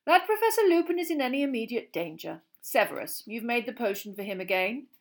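A woman's voice: a little high, sing-song, a little fast, voice pushed up. A woman voicing a cheerful, courteous old male wizard headmaster in an audiobook reading. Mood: genial. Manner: genial and courteous. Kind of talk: audiobook character voice.

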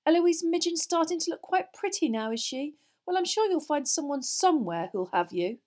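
A woman voicing a haughty, sharp-tongued teenage schoolgirl with a lofty, acid manner in an audiobook. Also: high-pitched, very animated, moderate pace, pitched above the performer's own voice, full character voice. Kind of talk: children's fantasy audiobook dialogue.

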